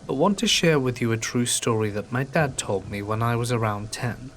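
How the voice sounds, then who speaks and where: A man calmly reading true scary stories aloud in an even, scripted storytelling manner. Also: medium pitch, moderate pace, slight background noise. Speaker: narrator of submitted stories. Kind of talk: calm scripted horror narration. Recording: faint rain ambience behind.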